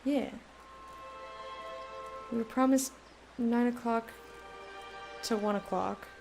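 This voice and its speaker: A woman's voice: on the high side, slow pace, vocal fry, a little mellow, faint music behind. A woman who hosts a let's play, reading the game's text aloud and chatting casually.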